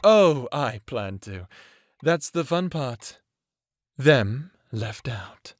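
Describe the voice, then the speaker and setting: Cruel vampire ex, played by a man: unhurried, sadistic, drawling taunts, close dry sound, for audio drama. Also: medium pitch, sing-song, no echo. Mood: gleeful menace. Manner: taunting, drawling, savoring words, mocking chuckles. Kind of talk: dramatic audio roleplay acting.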